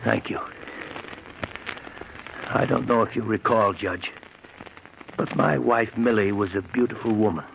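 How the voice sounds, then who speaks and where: Low-voiced, embittered old ex-convict. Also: male voice, gravelly. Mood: bitter, brooding. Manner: brooding, halting, insistent. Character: embittered, prematurely aged ex-convict, big and broken. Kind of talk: 1940s radio drama acting. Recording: muffled noisy old radio recording.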